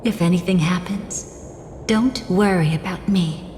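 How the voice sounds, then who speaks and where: A young woman voicing an enigmatic, teasing game character who is composed and calmly fond of danger. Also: lowish voice, a little slow, slight background noise, natural voice. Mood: measured, ominous. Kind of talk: video game cutscene voice acting. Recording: long echoing reverb, game music behind.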